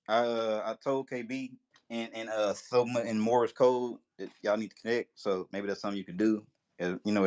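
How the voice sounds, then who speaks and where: A man hosting a casual live audio chat, laid-back and joking, laughing often. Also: mid-range voice. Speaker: host.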